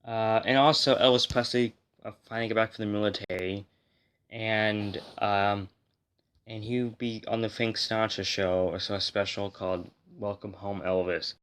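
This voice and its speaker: A man hosting a music history video, talking casually to viewers in a rambling, self-correcting way, slightly hoarse from getting over a cold. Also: on the low side, fairly even intonation, moderate pace, fairly bright tone. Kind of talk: casual explainer talk to viewers.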